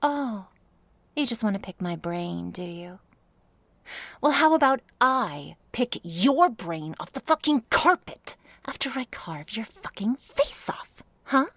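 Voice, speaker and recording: A woman playing a jealous, menacing vampire in an ASMR roleplay, sarcastic and taunting, often dropping into breathy hisses. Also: fairly high pitch, sing-song, slow pace, a bit bright, no echo, full character voice.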